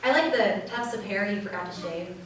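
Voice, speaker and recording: A woman's voice: medium pitch, brisk. A woman reading a love piece aloud as a performer at a wedding. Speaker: reader at a wedding reader's theater. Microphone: distant from speaker. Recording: echoey room, distant mic, faint noise.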